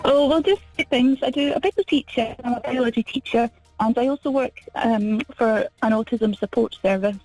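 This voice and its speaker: A woman's voice: a little high, moderate pace, darkish tone, no room sound, faint music behind, low-quality recording. A woman from Falkirk with a Scottish accent, chatting casually as a contestant on a radio quiz. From Falkirk, central Scotland. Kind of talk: casual phone-in chat.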